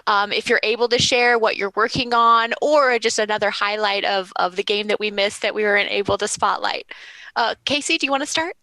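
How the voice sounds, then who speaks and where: An enthusiastic woman chatting casually as the moderator of a panel, with an animated way of talking. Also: mid-range voice, a little fast, no room sound, low-quality recording. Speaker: panel moderator and game writer.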